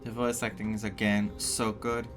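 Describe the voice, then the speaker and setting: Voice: medium pitch, little pitch movement, normal speed. A streamer reacting casually and expressively to a story game, with game music behind the voice. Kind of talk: casual live gameplay reaction.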